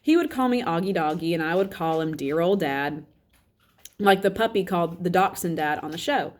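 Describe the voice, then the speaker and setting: A woman reads a children's story aloud in a lowish voice. She narrates as a teacher would for a class. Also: lively intonation, normal speed.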